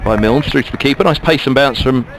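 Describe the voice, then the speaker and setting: A man's voice: mid-range voice, fast, a faint crowd, poor audio. A man giving ball-by-ball radio cricket commentary, musing chattily between deliveries.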